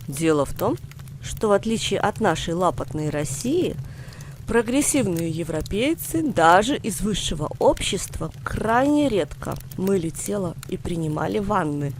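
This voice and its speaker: A woman voicing a patient older grandfather in a storybook, explaining things with measured, wry humour. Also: on the low side, sing-song, slight background noise.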